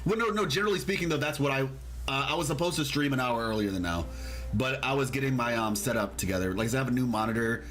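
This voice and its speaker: A man's voice: medium pitch, quick pace, fairly bright tone. A man chatting casually as a game streamer on a livestream, rambling and reading out chat comments as he goes.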